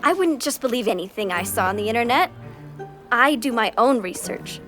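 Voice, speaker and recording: A bright-eyed teenage girl in an audio drama, hooked on history and pushing her theories eagerly. Her voice sits high, with faint music beneath. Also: normal speed. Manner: excited and insistent.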